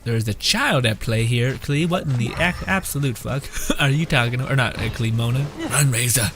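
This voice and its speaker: A man giving casual, joking, excitable gameplay commentary as a streamer, with plenty of swearing. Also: medium pitch, normal speed, music in the background. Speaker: video game streamer.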